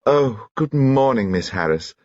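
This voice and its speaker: A man's voice: medium pitch, expressive, measured pace, a little mellow, no room sound, dull audio, pitched above the performer's own voice, natural voice. A man voicing a breezy, charming society woman in her late thirties. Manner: gushing, breezy.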